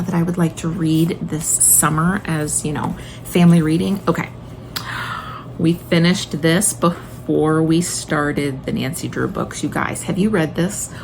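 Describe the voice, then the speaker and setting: A woman's voice: fairly low pitch, vocal fry, a little mellow, slight background noise, low-quality recording. A woman in her late thirties chatting casually and enthusiastically to viewers as she recommends books, with emphatic, informal delivery. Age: late 30s. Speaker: homeschooling mother and video host.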